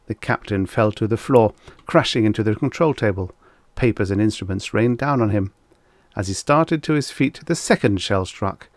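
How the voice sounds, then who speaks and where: A man narrating a vintage science fiction story as an audiobook, with measured, deliberate delivery. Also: lowish voice, dry recording.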